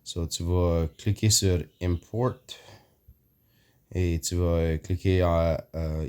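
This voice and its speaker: A man whose first language is English and who has an English Canadian accent. He walks viewers through an app in a casual tutorial, hesitant, full of fillers, clearing his throat often. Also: low-pitched, dark tone. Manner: hesitant, many fillers, mixes in English words. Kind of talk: casual screen walkthrough.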